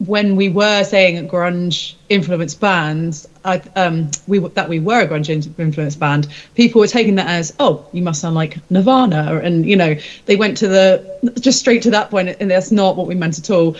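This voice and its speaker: A woman's voice: lowish voice, moderate pace, dull audio. A woman musician chatting as an interview guest in a casual, informal, self-deprecating way.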